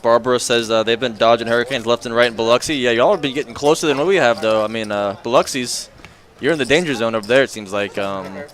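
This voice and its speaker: A man's voice: mid-range voice, fast, fairly bright tone. An American man doing casual live poker commentary, chatting in a laid-back, colloquial way. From Florida, United States. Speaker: regular poker stream commentator.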